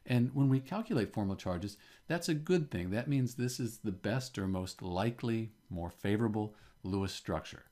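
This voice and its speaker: A man's voice: lowish voice, lively intonation, moderate pace, few pauses, dark tone. A man teaching chemistry in an informal tutorial style, explaining methodically step by step.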